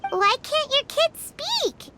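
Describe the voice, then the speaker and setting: A woman voicing a tiny floating fairy companion in a video game, squeaky, childish and excitable. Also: slow, loud music behind the voice, heavily acted. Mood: curious, flustered. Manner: childish, refers to itself in third person. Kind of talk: video game character acting.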